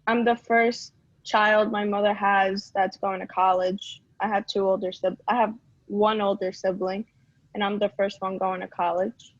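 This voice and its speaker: A woman's voice: medium pitch, flat delivery, a little slow, no echo. A young woman, a student introducing herself on a video call in a chatty, candid way. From New York City. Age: young adult. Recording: slightly muffled call audio.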